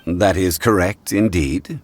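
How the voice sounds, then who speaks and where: A man voicing a calm, learned consultant in a video game, speaking in a measured, formal, eloquent way. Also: low voice, a little hiss or hum. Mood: composed, courteous. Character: calm, learned male consultant, composed and courteous. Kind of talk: scripted game dialogue.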